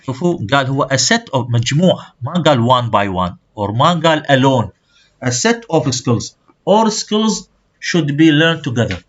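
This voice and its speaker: Guy, lecturing in a college class, lots of pitch movement, muffled lo-fi sound. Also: sing-song, brisk. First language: Arabic. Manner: explains terms and checks with students. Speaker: university lecturer. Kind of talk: lecture, switching between English and Arabic. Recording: dull lo-fi recording.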